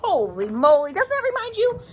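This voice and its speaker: A woman teacher reading aloud to pre-kindergarten children, expressive and sing-song, stressing rhyming words and pausing to talk to her listeners. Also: very high voice, slight background noise. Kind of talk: animated children's read-aloud with asides.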